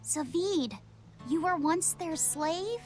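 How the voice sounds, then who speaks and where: An actress playing a gentle, concerned and polite young woman in a video game, with music clearly underneath. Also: very high-pitched, animated, slow, exaggerated voice. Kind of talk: English video game dub acting.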